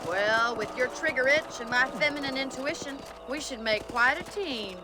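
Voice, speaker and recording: A woman acting a high-voiced, tough frontier rancher woman. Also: moderate pace, natural voice. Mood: businesslike. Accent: American. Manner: plain-spoken, commanding, teasing. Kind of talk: western video game voice acting. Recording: game audio with loud music.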